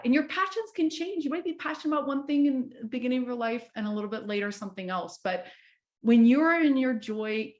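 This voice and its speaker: Woman host, chatty, a bit high voice, lots of fillers and restarts. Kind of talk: casual chat.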